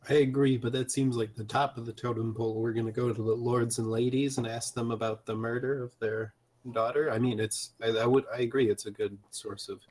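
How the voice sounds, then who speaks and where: A man's voice: medium pitch, steady tone, fairly quick, few pauses, a little mellow, a little hiss or hum, poor audio. A man playing a tabletop game over a video call, chatting casually and often checking with the others. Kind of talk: casual planning chat between players.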